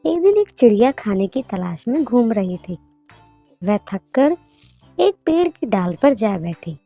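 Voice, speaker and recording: A woman narrates a scripted children's tale in a mellow, slightly high voice. The sound is dry and close, with soft music underneath. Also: very animated, unhurried, dry recording.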